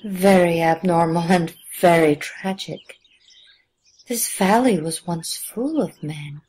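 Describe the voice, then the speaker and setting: Slow, stately woman acting a village priestess. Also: on the low side, a little mellow, slight background noise. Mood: solemn. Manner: formal, measured, stately speech. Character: courteous alien village priestess and leader, gracious, solemn. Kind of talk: audio drama acting.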